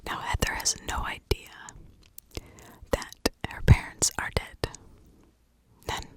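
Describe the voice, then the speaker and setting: A woman doing ASMR true-crime storytelling in a breathy whisper, chatting from memory with dramatic emphasis on key words. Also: slow. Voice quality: breathy, unvoiced whisper with creaky edges.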